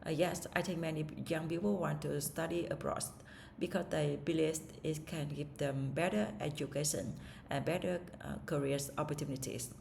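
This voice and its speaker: Woman, first language Vietnamese, English speaking practice, hesitant, low voice, faint background hiss. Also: steady tone, normal speed, creaky, darkish tone. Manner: hesitant, with restarts and fillers. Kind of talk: reading questions and answering them aloud.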